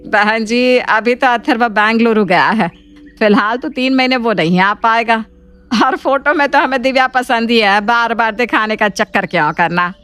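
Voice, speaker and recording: A woman's voice: a little high, moderate pace, a bit bright, smooth, close to an everyday voice. A woman voicing a polite, agreeable middle-aged mother of a groom, speaking formally in a cartoon story. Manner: courteous, formal.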